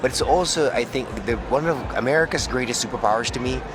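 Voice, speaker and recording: A male podcast host interviewing a guest at a conference booth, effusive and enthusiastic, filling pauses with "mm" and laughs. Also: mid-range voice, background noise. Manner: effusive, frequent "mm" affirmations and laughs.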